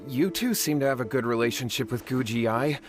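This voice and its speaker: A man's voice: mid-range voice, a little fast, over music, lightly acted. A man voicing a desperate young man in a video game, earnest and anxious as he pleads for help.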